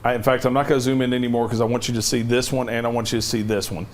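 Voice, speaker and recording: A man's voice: mid-range voice, level tone, rapid-fire. Man giving urgent live storm warnings. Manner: urgent, directive safety warnings. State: losing his voice, cough drop in mouth. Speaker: TV meteorologist. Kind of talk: live severe weather coverage.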